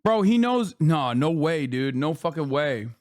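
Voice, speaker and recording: Brief: male streamer in his 30s, profane heated commentary, raised pitch, dry close mic. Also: big pitch swings, unhurried, no room sound. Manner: profane, mocking, shouting in outrage. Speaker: streamer reacting to a podcast clip.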